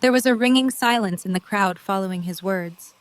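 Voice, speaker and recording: Woman narrator, medium pitch, scripted storytelling, audio drama narration. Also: a little fast, dry recording.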